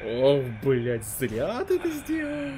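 Young male streamer, lively swinging pitch, casual reactions, noisy sound behind him. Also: takes it slowly. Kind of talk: casual live reaction commentary.

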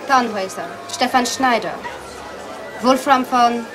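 A woman's voice: on the high side, measured pace, natural voice. TV announcer, female, played by a woman; formal announcing tone over crowd murmur. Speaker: broadcast announcer. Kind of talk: film drama acting. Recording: film soundtrack, crowd and music.

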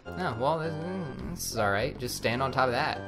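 A man's voice: mid-range voice, lively intonation, moderate pace. A man chatting casually and joking while coaching his sibling through a platform game, with game music behind. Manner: laid-back, joking, coaching. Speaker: co-host playing a level-building game. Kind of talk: casual let's play chat.

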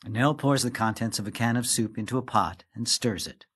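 A man's voice: mid-range voice, fairly quick, a bit bright, slightly rough. A man reading scripted stage directions as the narrator of an audio play.

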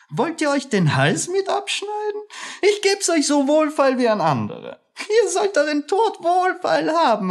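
A woman's voice: sits high, full character voice. A woman playing a sly, scornful haggling junk dealer in a radio play, wheedling and sardonic. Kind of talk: German radio play acting.

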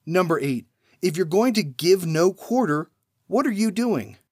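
A man hosting a trivia podcast, reading numbered questions and then giving each answer after a pause, in a measured way. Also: high-pitched, animated, darkish tone.

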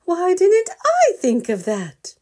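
A woman voicing a flustered, excitable mother bear who exclaims emphatically in a storybook. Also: very high-pitched, takes it slowly, voice pushed up, heavily acted. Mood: delighted.

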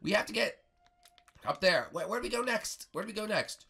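A man's voice: high voice, expressive, a little fast, vocal fry, sharp tone, slightly rough, voice pushed up, full character voice. A man voicing a cheeky, impulsive twelve-year-old boy in an improvised toy adventure, eager and stammering.

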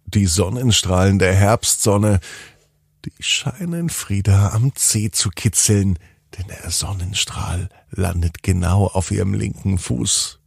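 Male children's storyteller: slow, playful bedtime narration, husky tone, very dry sound, dramatic pauses. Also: low-pitched, no echo. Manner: deliberate, playful, with drawn-out words and dramatic pauses.

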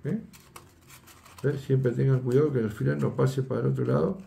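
A man's voice: medium pitch, fairly even intonation, a little fast, gravelly, mellow, roomy sound, slight background noise. An older man, teaching a fishing rig setup in a chatty tutorial and often asking his viewers "¿eh?" and "¿ves?". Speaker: fishing instructor. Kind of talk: hands-on tutorial demonstration. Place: indoor workroom at a table.

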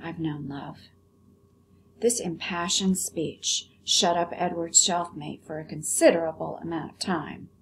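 A woman with an American accent, a teacher explaining words and reading a story aloud with clear phrasing for children. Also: on the low side, steady tone, unhurried, few pauses, a little hiss or hum, low-quality recording. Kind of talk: vocabulary lesson and expressive story read-aloud.

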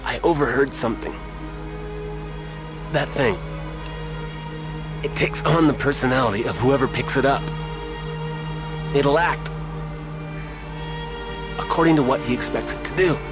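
A man playing a badly wounded, dying man in a video game, speaking in weak, halting broken phrases. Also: mid-range voice, music in the background, lightly acted. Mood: weary, urgent. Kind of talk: video game cutscene acting.

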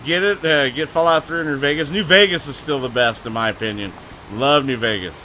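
A man streaming live gameplay, talking loud and excitably, with a booming voice, cursing at the game and answering chat. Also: brisk, a little hiss or hum, rough recording. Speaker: gaming streamer.

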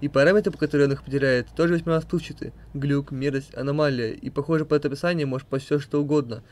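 A man's voice: a little high, fairly even intonation, very fast, a little mellow. A man reading questions and explanations aloud as narrator of an amateur comic dub.

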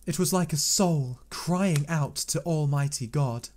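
A man's voice: fairly high pitch, measured pace, darkish tone, voice pushed up, lightly acted. A man voicing an earnest, resolute Victorian lady. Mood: earnest pleading. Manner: pleading, earnest. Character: earnest young Victorian woman, grave and resolute.

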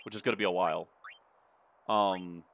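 A man's voice: low-pitched, steady tone, moderate pace, a bit bright, a little hiss or hum. A man giving casual gameplay commentary, joking crudely and irreverently as he plays. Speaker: let's play commentator. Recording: game music behind voice. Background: video game music and dialogue.

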